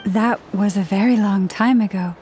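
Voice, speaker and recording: An actress playing a serene, kindly priestess in a video game, speaking in a gentle, formal, measured way. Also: female voice, mid-range voice, fairly even intonation, soft background music, close to an everyday voice. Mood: gentle, curious. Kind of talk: video game cutscene voice acting.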